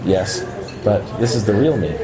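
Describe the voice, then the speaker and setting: An actor playing an obsessive, wistful programmer in love, in a radio play. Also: male voice, lowish voice, big pitch swings, normal speed, vocal fry, a crowd in the background, poor audio. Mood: earnest.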